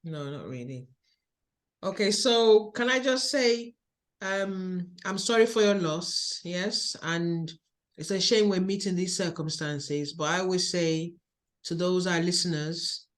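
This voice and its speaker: A woman with a Nigerian accent hosting a podcast interview, speaking in a slow, measured, encouraging way. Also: on the low side. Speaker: podcast host.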